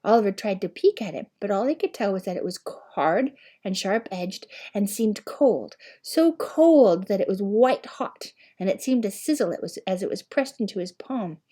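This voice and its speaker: A woman narrates a storybook at a middle pitch. Her delivery is measured and expressive, in the manner of someone reading a novel aloud. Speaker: audiobook reader.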